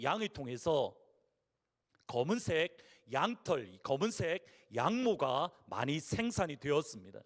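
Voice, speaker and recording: A hoarse male pastor with a Busan accent delivers a Sunday sermon. His voice sits fairly high and swings widely in pitch as he tells stories and poses questions. It carries through the long reverb of a large church sanctuary. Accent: Gyeongsang (Busan). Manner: earnest, storytelling preaching with rhetorical questions.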